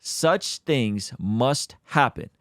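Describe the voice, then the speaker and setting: Earnest man, lively emphatic delivery. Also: medium pitch, very slow, no echo, clean studio sound. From Azerbaijan. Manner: emphatic, earnest, talks straight to viewers. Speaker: YouTube host. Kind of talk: faith-based commentary on current events.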